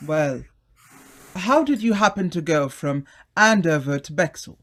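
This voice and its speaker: An actor playing a condescending, chummy upper-class gentleman in an old-time radio drama, bluff and exclamatory. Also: on the high side, lively intonation, unhurried. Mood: reassuring, brisk.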